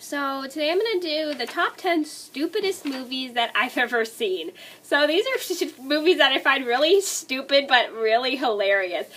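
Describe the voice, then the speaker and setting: Bright-voiced young woman with a cold. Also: high voice, animated, normal speed. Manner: rambling, many likes and restarts. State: sick, stuffy nose and scratchy throat. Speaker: vlogger. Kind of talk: casual movie list vlog.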